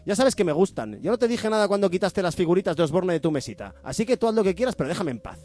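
A man's voice: sits high, very animated, racing, a little mellow. A man with an accent from Spain playing Spain personified as a defensive, party-loving spouse in a comic radio soap, with exasperated, sarcastic colloquial retorts. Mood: irritated, defensive. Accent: Spanish, from Spain.

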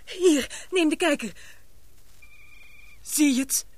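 An actress playing an anxious but brave, tender young woman in a radio drama, with breathy, emotional, hesitant delivery. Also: high voice, slow. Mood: excited.

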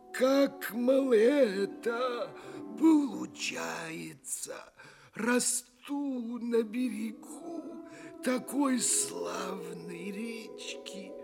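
A woman's voice: fairly high pitch, creaky, heavily acted. A woman voicing a sorrowful, withered tree that pleads, in an audio fairy-tale play. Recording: music behind the voice.

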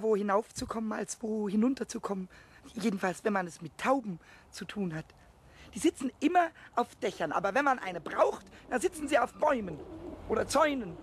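A man voicing a small, mischievous, impatient kobold who chatters excitedly to himself in a children's radio play. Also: high-pitched, breathy edge.

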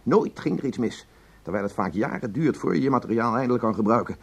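A defensive husband in a radio drama, self-important, talks fast, bit raspy. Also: male voice, medium pitch, lively intonation. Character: aggrieved, self-justifying married obituary radio producer. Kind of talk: Dutch radio play acting.

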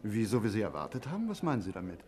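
An actor playing a courteous, evasive and fussy hotel owner in a 1950s German radio drama. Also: male voice, on the low side, wildly swooping pitch, racing, darkish tone. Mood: flustered. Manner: polite, fumbling, defensive.

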